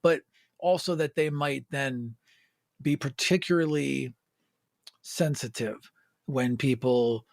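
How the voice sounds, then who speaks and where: A man's voice: mid-range voice, slow, vocal fry, pauses often, dry recording, clean studio sound. A man thinking aloud tentatively in a casual planning chat.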